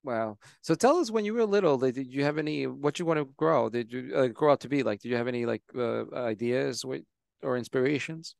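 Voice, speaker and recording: Gravelly male host, keen and halting. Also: medium pitch, no echo, studio quality. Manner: enthusiastic, keeps saying wow, halting phrasing. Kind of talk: casual interview chat.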